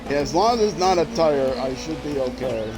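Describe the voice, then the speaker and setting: A man's voice: fairly high pitch, brisk, noisy background. Rambling man streaming a racing game. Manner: rambling, trailing off and restarting; sudden excited outbursts while racing. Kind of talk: casual gameplay commentary. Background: loud game music and car engine sounds.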